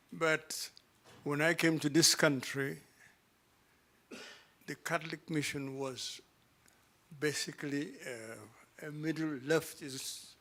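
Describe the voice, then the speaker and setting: Halting man from Cameroon. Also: fairly high pitch, steady tone, sharp tone. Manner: halting, with many pauses and fillers. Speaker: audience member asking a question. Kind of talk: question from the floor at a panel event.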